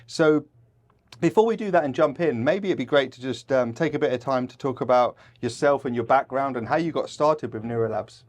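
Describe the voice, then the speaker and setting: A man's voice: medium pitch, fairly quick, a little mellow. A man hosts an interview podcast in a studio set up at a brewery. He keeps it moving with easy nods of agreement and short questions. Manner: smooth, affirming, steers with short prompts. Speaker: podcast host. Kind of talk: hosting an interview.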